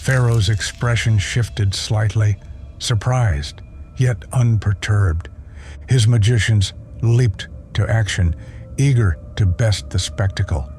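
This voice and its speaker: A man giving scripted, theatrical first-person narration for an audio drama in a solemn, measured manner. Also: lowish voice, darkish tone. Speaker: narrator and voice actor. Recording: prominent music behind. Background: music.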